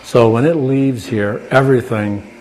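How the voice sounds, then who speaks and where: An older American man explaining his work informally and step by step, in a halting manner. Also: mid-range voice, fairly even intonation, a little mellow, poor audio. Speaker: farm owner and cheese maker. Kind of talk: informal explanation while demonstrating. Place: indoors in a small farm creamery. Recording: clear background noise, working creamery room.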